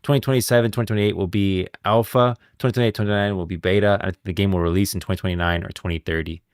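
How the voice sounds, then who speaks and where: Low-voiced, fast-talking male streamer. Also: steady tone, clean tone, no echo, studio quality. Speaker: live streamer. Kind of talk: casual stream chat and commentary.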